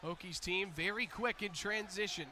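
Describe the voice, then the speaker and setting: An American man doing energetic live basketball play-by-play commentary, building to excited calls on big plays. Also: high voice, moderate pace, slight background noise.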